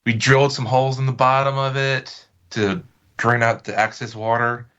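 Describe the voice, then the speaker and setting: A man's voice: mid-range voice, level tone, moderate pace, a bit bright, smooth, lo-fi sound. A man speaking as an interview guest, informal and hesitant as he feels for his words. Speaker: podcast guest. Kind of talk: casual interview chat.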